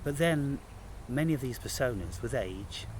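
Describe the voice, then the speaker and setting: A man giving an unscripted spiritual monologue, speaking in halting, emphatic phrases with deliberate pauses. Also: medium pitch, animated, slight background noise, poor audio. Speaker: spiritual vlogger and author.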